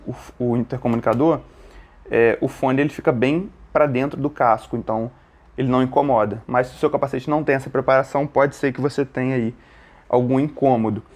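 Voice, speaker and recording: A Brazilian man speaks at an average pitch as he presents a practical gear tutorial. His delivery is informal and explanatory, going step by step through hands-on work. He often adds short tag questions to check that the listener is following. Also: a little mellow.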